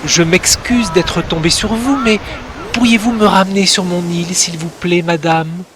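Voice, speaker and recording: A man's voice: high-pitched, fairly even intonation, moderate pace, noisy background, pitched above the performer's own voice, close to an everyday voice. Timek, a man voicing a shy little boy. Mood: timid. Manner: shy, polite pleading. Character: timid polite little boy lost at sea.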